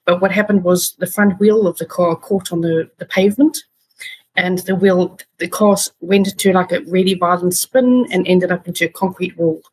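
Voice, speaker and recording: A South African woman speaks as an interview guest, her voice husky and set fairly low. She talks earnestly, pausing on fillers and restarting her sentences as she goes. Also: steady tone, low-quality recording. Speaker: guest, patient advocate. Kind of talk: casual interview talk.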